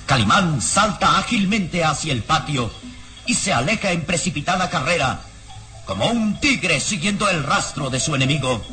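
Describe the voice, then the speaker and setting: A man's voice: on the high side, expressive, moderate pace. A man giving dramatic scripted narration for an old radio serial, solemn, suspenseful and declamatory. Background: dramatic music.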